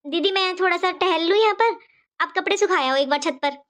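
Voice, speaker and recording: A performer voicing a gentle, apologetic, unwell young pregnant woman in a cartoon moral story, speaking meekly. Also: extremely high pitch, brisk. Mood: meek, uneasy. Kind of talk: animated moral story dialogue.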